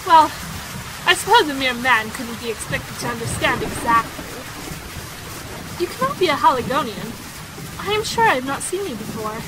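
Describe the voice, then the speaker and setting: An actress plays a young society woman, high-pitched and headstrong, who chatters on with dramatic emphasis. She is vain and candid, in the manner of a period radio play. Rain patters clearly behind her. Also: normal speed, pauses often, noisy background. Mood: haughty. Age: young adult. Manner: breathlessly talkative, emphatic, dramatic. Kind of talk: audio drama acting, period dialogue. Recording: steady rain sound effects behind.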